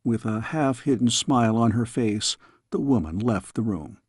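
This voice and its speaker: A man reading a story as an audiobook narrator, in measured, expressive storytelling style. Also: mid-range voice, slightly rough, no room sound, clean studio sound.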